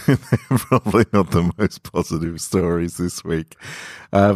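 Man, podcast host, very low pitch, slow delivery, informal, dry close sound. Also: no echo. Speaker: podcast host and story reader.

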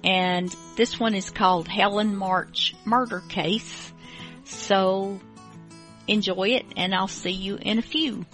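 A woman's voice: mid-range voice, steady tone, takes it slowly, a bit bright, low-quality recording. Informal woman radio host over music. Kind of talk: casual radio show introduction.

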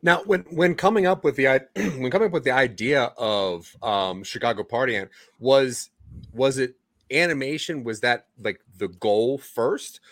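A man's voice: mid-range voice, normal speed, no echo, clean studio sound. A man hosting an interview, asking long, rambling questions with frequent restarts. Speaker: interviewer host. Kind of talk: casual interview chat.

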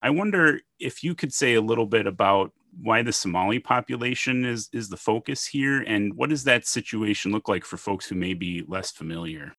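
A man from Minnesota hosting a podcast, measured as he reads a formal guest introduction and then asks questions. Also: lowish voice, bright, forceful tone, dry recording, clean studio sound. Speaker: podcast host.

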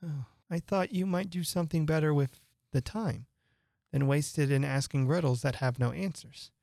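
A woman's voice: deep voice, normal speed, dark tone, no room sound, clean studio sound. A woman voicing a polite, curious young girl who speaks earnestly. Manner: earnest, wondering.

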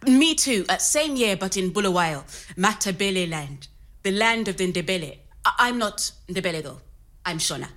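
A woman's voice: medium pitch, lively intonation, moderate pace, fairly bright tone, natural voice. An actress playing a frank, spirited middle-aged Zimbabwean woman recounting her youth in an interview-style audio drama, with a Zimbabwean accent.

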